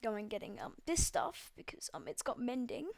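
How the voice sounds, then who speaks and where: A woman's voice: fairly high pitch, sing-song, normal speed, creaky, a little hiss or hum. Rambling young Australian woman gamer. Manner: rambling, full of ums and likes, swears freely. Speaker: gaming video creator. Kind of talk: casual gameplay commentary.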